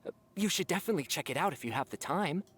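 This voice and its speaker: A woman's voice: low-pitched, lively intonation, rapid-fire, a little hiss or hum. A woman voicing a chatty young female spy in a game.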